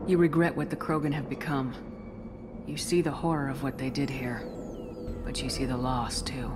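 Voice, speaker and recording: A woman playing a steady, authoritative and frank military commander in a video game. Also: a bass voice, moderate pace. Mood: probing, earnest. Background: game music.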